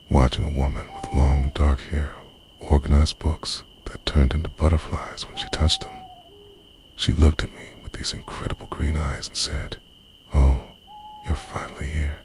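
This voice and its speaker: A man acts out an obsessive, lovestruck boyfriend in an ASMR roleplay. His voice is extremely deep and slips often into a breathy whisper. The delivery is brooding and confessional, with very wide swings in intonation. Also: wildly swooping pitch, normal speed, exaggerated voice. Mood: wistful, devoted. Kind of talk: intimate ASMR roleplay monologue.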